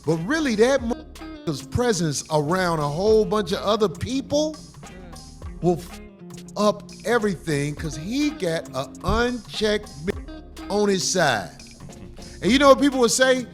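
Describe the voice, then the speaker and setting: A man ranting in a played video, animated and profane. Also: high voice, unhurried, long pauses. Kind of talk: opinion commentary. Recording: faint music under the voice.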